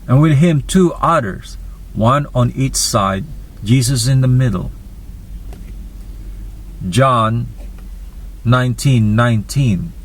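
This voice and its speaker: A man narrating a dramatized Bible reading, deliberate and phrase by phrase. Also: mid-range voice, poor audio. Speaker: scripture narrator.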